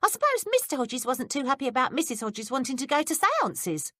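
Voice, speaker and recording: Woman reads clever prying housemaid, high eager questions, close dry sound. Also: very animated, brisk, a bit bright, no room sound, full character voice. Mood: probing. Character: nosy young housemaid, coy and clever.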